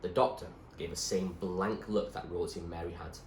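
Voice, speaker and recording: A man reading a storybook aloud as narrator, in an expressive storytelling style. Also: low voice, moderate pace. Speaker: story reader. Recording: echoey room with clear background noise.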